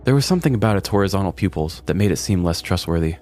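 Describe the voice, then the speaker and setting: A man's voice: sits low, expressive, rapid-fire, darkish tone, a little hiss or hum. A man, a podcast host, narrating a scripted comic story in a wry, dramatic storytelling manner.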